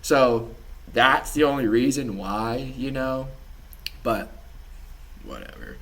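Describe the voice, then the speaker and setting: A man's voice: medium pitch, takes it slowly, fairly bright tone. A young man hosting a podcast, chatting casually and rambling with lots of swearing and laughter. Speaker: podcast host. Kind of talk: casual profane reaction chat.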